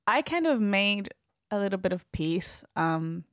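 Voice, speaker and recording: Italian woman, mixed accent, laughs easily, halting, dry mic, mid pitch. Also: sing-song, no room sound. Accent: mixed Italian, American and Australian. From northern Italy. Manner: warm, giggly, a little halting. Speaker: podcast guest, architect. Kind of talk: casual interview.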